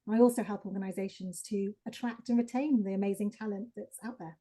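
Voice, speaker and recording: A woman's voice: medium pitch, steady tone, fast talker, mellow. A woman executive coach introducing herself fluently and upbeat on a video-call panel.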